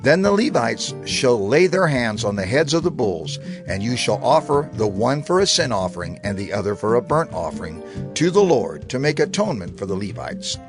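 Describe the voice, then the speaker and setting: A man's voice: mid-range voice, sing-song, moderate pace. A man reading scripture in a dramatized production, formal and instructive in his delivery. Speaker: scripture reader. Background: music.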